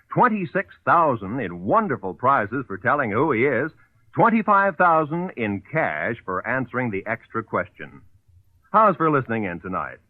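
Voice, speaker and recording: A man's voice: mid-range voice, dry recording. An American man announcing in a polished, formal, scripted 1940s network radio style. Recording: muffled vintage radio broadcast, dry.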